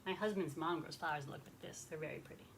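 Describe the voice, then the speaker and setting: A woman's voice: low-pitched, fast talker, a little hiss or hum. A woman leading a children's story time, narrating expressively and chatting with young listeners in simple words. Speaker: rabbi telling stories to children.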